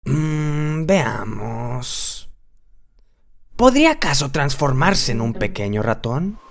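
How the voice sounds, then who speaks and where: A performer voicing a crafty, flattering male cat servant in a children's cartoon fairy tale, theatrical and courtly. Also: medium pitch, slow pace, creaky, exaggerated voice. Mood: sly, flattering. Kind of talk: children's cartoon storybook acting.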